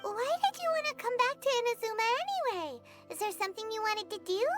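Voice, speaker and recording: A woman voicing a tiny, excitable, childlike fairy companion in a video game, with a squeaky voice. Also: a little fast. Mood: curious. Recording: loud music behind the voice. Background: game music.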